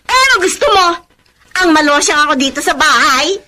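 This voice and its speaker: A woman's voice: sits high, big pitch swings, fairly quick, bright, forceful tone, exaggerated voice. A woman with a Filipino accent playing a nagging, defiant wife in a radio drama. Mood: angry, scornful. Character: shrill, nagging, defiant wife quarrelling over money.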